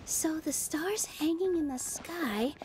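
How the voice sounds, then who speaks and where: A woman voicing a gentle, earnest young maiden in a video game. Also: high voice, lively intonation, slow, background noise.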